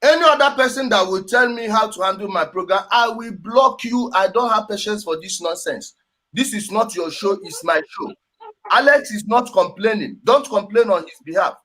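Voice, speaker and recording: A man's voice: high voice, animated, normal speed, fairly bright tone, rough recording. A man with a Nigerian accent hosting a heated political discussion, speaking emphatically and repeating his phrases. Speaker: host.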